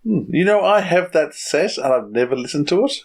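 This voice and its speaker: A man's voice: fairly high pitch, expressive, fairly quick, darkish tone. A middle-aged man co-hosting a podcast in casual, conversational talk. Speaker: podcast co-host.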